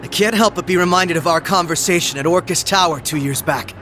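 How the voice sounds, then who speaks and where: A serious young video game hero. His voice sits a little high and sounds sincere and straightforward, with faint music underneath. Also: brisk, bright, forceful tone. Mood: earnest. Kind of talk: dramatic video game cutscene acting.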